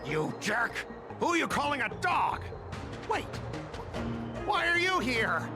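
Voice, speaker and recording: An actor playing an indignant, hot-tempered shipmaster in a video game, blustering and argumentative. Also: male voice, very high-pitched, sing-song, takes it slowly, exaggerated voice. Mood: outraged. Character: indignant, hot-tempered male company shipmaster, quick to complain. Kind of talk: animated video game voice acting. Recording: game audio with music behind.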